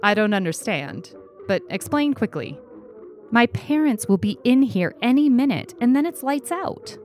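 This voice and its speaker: A woman reader puts on an exasperated schoolgirl in an audio story. She is pitched up, stubborn and insistent, with clipped demands. Light music runs beneath the voice. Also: sing-song, normal speed, a little mellow. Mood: impatient. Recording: music under the reading.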